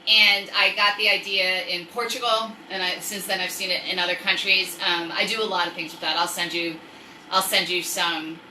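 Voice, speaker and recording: A woman hosting a casual livestream, chatting in a rambling, self-interrupting way with animated asides. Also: lowish voice, moderate pace, recorded from a distance. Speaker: online storytime host and craft seller. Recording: echoey room, off-mic, faint noise.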